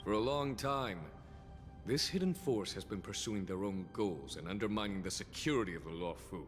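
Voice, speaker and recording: A composed game general, voiced by a man, low and formal with calm authority. The voice rings in a long hall reverb. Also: normal speed, faint music behind, close to an everyday voice. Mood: composed, resolute. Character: dignified, composed male general of a fantasy army. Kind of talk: video game voice acting.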